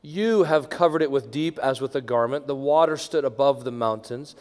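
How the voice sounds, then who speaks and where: Praying pastor in a reverberant sanctuary. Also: male voice, mid-range voice, normal speed. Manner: swings from hushed near-whisper to strong raised proclamation. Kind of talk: pastoral prayer. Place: large church sanctuary. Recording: long church reverb.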